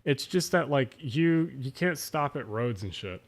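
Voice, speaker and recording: A man's voice: mid-range voice, animated, a little slow. A man with a South Jersey accent, slightly tipsy, rambling casually as he hosts a late-night stream and answers chat with lots of fillers and restarts. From South Jersey, USA. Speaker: livestream host.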